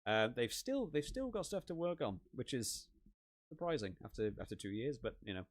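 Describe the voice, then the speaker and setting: A man from the UK talks casually on a home live stream, reading and answering chat. He rambles and restarts his sentences often. His voice sounds worn and a little hoarse after a long session. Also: medium pitch, sing-song, moderate pace. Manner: rambling, chatty, many restarts. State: voice wearing out, hoarse. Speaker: rugby gaming channel host.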